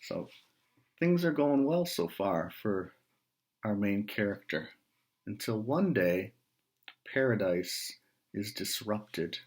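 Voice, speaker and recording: A man reading a picture book aloud to young listeners, pausing between short phrases and adding casual asides and questions. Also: fairly low pitch, animated.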